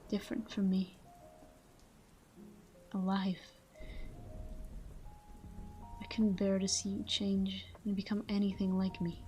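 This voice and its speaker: Woman playing a soft, dark-toned, half-whispering vampire lover. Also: mid-range voice, close to an everyday voice. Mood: devoted, wistful. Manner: slow, hushed, wistful delivery. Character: tender, devoted, melancholy immortal vampire woman lover. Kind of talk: intimate ASMR sleep-aid roleplay monologue. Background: soft background music.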